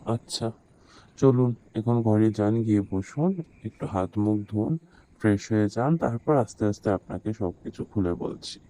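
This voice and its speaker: A fearful, humble village servant man from a horror cartoon, acted by a man. His voice is lowish and extremely dark. He laments plaintively and defers to those he addresses. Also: lively intonation, unhurried, rough recording. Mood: sorrowful, deferential. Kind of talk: horror cartoon story dubbing.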